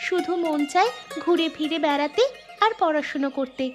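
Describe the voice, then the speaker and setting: A poor little village girl, voiced by a woman in a cartoon folktale. The voice is very high, childlike and wistful, with a plaintive edge. Soft music sits underneath. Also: moderate pace, natural voice. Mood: innocent, plaintive. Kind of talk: cartoon fairy-tale voice acting.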